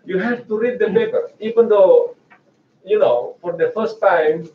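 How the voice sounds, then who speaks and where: A man's voice: sits high, measured pace. Indonesian-accented man lecturing in an echoey room. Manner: chatty, hesitant restarts, frequent laughs. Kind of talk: research seminar lecture. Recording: echoey, distant, muffled room mic.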